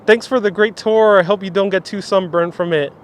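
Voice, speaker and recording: A man's voice: sits high, fairly even intonation, brisk. A man from Puerto Rico hosting a casual live-streamed tour of a sculpture park, chatting and reading viewer comments aloud. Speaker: vlog host. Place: outdoors in a large sculpture park. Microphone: phone on gimbal with wireless mic.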